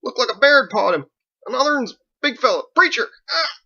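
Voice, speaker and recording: Bewildered, folksy town drunk for an audiobook, played by a male narrator: pitched up high, forceful, easily cowed. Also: sing-song, a little fast, creaky, muffled recording, exaggerated voice. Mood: pained, pleading. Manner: folksy, exclamatory, dropped words.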